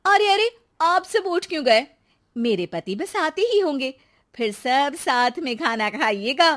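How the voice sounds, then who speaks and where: A woman's voice: high voice, big pitch swings, unhurried, fairly bright tone, pitched above the performer's own voice, exaggerated voice. A woman voicing a clever young village wife in a cartoon story, exasperated yet sly and sweet-talking. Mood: falsely sweet.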